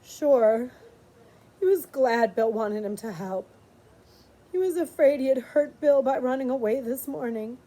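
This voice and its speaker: A woman reading a storybook aloud in an expressive, dramatic way with drawn-out pauses. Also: sits high, rough recording. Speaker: audiobook reader, librarian.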